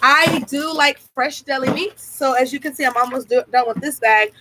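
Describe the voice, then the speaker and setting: A woman's voice: high-pitched, moderate pace, noisy background. A young woman chatting enthusiastically to viewers in a home vlog, recorded close up on a built-in webcam mic. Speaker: vlogger. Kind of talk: casual diet vlog, showing food to camera. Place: small room at home, indoors. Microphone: built-in camera mic, webcam at close range.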